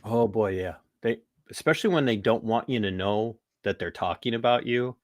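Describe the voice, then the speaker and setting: An American man hosting a podcast, talking politics in a rambling, animated way with restarts and laughs. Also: fairly low pitch, normal speed, no room sound, clean studio sound. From United States. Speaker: podcast host. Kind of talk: casual political talk.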